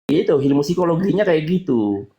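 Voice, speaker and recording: A man's voice: fairly high pitch, very animated, brisk, mellow. A man in an informal live argument, taunting and interrupting with pushy repeated demands. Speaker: debate participant.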